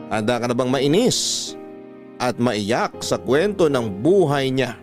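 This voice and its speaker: A man's voice: mid-range voice, animated, takes it slowly, soft background music. Man narrating a dramatic radio story. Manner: dramatic, questioning teaser delivery. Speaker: narrator and host.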